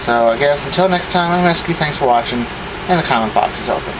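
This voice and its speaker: A man's voice: animated, quick pace. Offhand man with noisy background hum. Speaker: video host showing vintage electronics. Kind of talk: casual unscripted demonstration. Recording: clear background hum and rumble noise.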